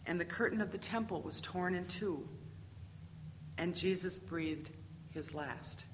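A woman's voice: lowish voice, a little slow. Woman reading scripture in an echoing hall. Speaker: scripture reader. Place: large reverberant church hall. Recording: echoey hall, long reverb, background noise.

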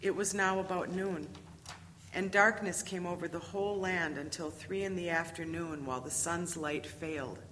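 A woman's voice: lowish voice, steady tone, normal speed, a bit bright. Woman reading scripture in an echoing hall. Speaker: scripture reader. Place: large reverberant church hall. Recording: echoey hall, long reverb, background noise.

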